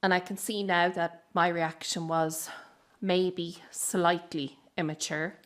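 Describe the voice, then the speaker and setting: A woman's voice: on the low side, steady tone, takes it slowly. A young woman acting in a radio drama as a hurt, resentful grown daughter, blunt and reproachful with her mother. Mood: conceding. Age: young adult.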